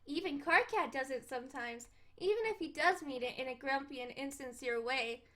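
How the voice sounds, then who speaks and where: A woman voicing a playful, excitable young cat girl who teases and growls. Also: high-pitched, normal speed. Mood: teasing. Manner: bouncy, teasing, shouts cat growls.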